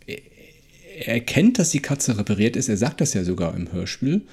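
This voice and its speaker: A man's voice: on the low side, sing-song, brisk, darkish tone, husky. A man co-hosting a podcast, casually analysing a story while feeling for his words. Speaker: podcast co-host. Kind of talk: casual discussion and analysis of an audio drama plot.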